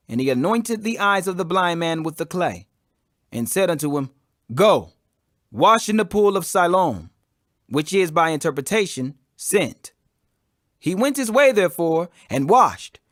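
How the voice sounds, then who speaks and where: A man's voice: high-pitched, a bit bright, no room sound, clean studio sound. A man reading scripture for an audiobook in a dramatic, emphatic, declamatory way. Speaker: Bible reader.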